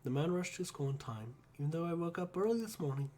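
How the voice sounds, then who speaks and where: A man's voice: a little high, expressive, fast, warm tone. A man reading a visual novel aloud in a playful way, dropping joking asides between lines.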